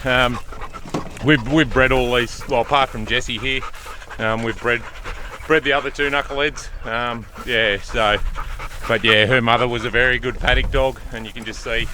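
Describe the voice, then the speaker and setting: Australian farmer talking outdoors. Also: male voice, medium pitch, fairly even intonation, moderate pace, slight background noise. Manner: rambling, with many fillers and restarts; loud calls and commands to working dogs. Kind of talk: casual vlog talk to camera. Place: outdoors on a sheep farm.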